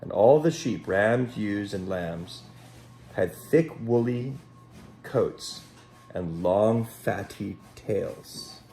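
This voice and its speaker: A man reading a children's story aloud in an expressive, dramatic way, pausing to speak directly to young listeners. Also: on the low side. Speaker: Sunday school storyteller. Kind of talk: animated children's story read aloud with asides. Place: at home.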